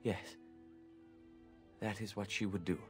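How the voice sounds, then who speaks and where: An actor playing a grieving middle-aged elf hunter in a video game, gentle, halting and grave. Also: male voice, fairly low pitch, steady tone, soft background music. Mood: subdued grief. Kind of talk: video game character acting.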